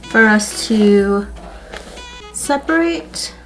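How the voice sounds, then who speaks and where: A woman's voice: medium pitch, animated, drawn-out words, a bit bright, soft background music, low-quality recording. A woman with a stuffy nose chatting casually as she walks through a hair tutorial for her channel. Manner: chatty, friendly, repeats "literally" and "like". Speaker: beauty YouTuber.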